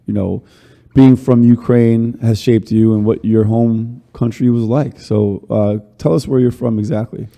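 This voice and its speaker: A man hosting a casual interview podcast, his voice very dark and mellow at an ordinary pitch. He rambles and restarts his sentences, and the sound carries a long reverb. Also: steady tone, measured pace, vocal fry, few pauses. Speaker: podcast host.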